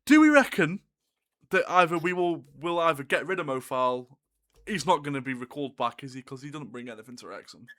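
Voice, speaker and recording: A man's voice: on the high side, expressive, fairly quick, fairly bright tone. A young man with a Scouse-tinged Northern English accent, co-hosting a casual football podcast in a laid-back, agreeable way and laughing often. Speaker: podcast co-host.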